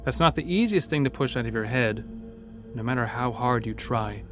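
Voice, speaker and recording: A man's voice: medium pitch, lively intonation, normal speed, a bit bright, soft background music. A man narrating a scripted first-person horror story as an uneasy logging worker.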